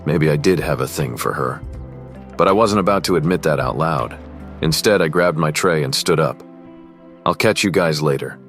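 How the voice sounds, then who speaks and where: A man playing a cocky rich high school boy who is quietly smitten, narrating and speaking in a teen romance drama. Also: moderate pace, faint music behind. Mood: brooding, reflective. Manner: smug, cool, guarded. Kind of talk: first-person narration and dialogue in a teen romance.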